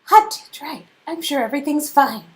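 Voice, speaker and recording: A woman playing brisk, guarded female characters in an amateur read-aloud of a romance spy game, with expressive melodrama. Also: high-pitched. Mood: confident.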